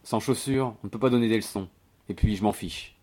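A man voicing a gruff, broke young man who talks grudgingly and colloquially in a novel reading. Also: lowish voice, a little fast, a little mellow, lightly acted.

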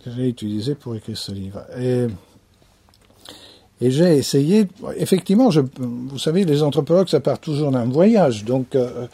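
Male anthropologist from France, husky, unhurried interview answers, repeats phrases for weight. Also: medium pitch, animated, warm tone. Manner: thoughtful, pauses and repeats key phrases.

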